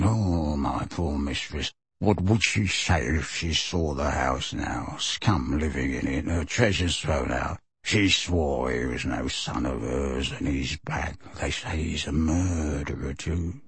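A man puts on a bitter old house-elf who mutters resentfully under his breath, low and slow. The voice is husky and dry, close to the mic. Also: dry recording, exaggerated voice. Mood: muttering bitterly.

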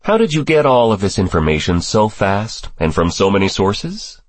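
A man's voice: on the low side, sing-song, normal speed. A man voicing a disgusted, disdainful male senator who challenges and accuses, in an audiobook thriller. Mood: disdainful, accusing.

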